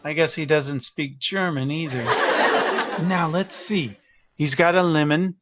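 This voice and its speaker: A man's voice: on the high side, unhurried, very noisy, natural voice. A man playing a flustered, self-important and oblivious interviewer in a comic audio drama, fussy and earnest in delivery. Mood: earnest narrating.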